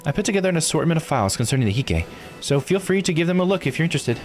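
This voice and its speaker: A man's voice: fairly high pitch, very animated, racing, faint music behind, pitched above the performer's own voice. A man voicing a polite, knowledgeable researcher in a visual novel, explaining things at length. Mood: helpful, musing. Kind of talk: character voice reading game dialogue.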